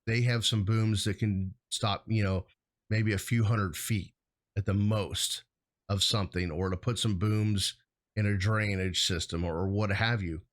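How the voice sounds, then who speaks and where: A man's voice: on the low side, steady tone, a little slow. A man co-hosting a casual shop-talk discussion, speaking in an informal, plain-spoken way. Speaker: hazmat consultant and show host. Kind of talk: casual chat, professional topic.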